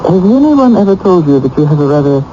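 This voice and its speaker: An actor playing a courteous, inquisitive court painter in a radio drama, speaking articulately and frankly. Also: male voice, on the high side, very animated, fast, warm tone, slight background noise. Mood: gentle, curious. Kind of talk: radio drama acting with first-person narration. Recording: dull old radio drama broadcast.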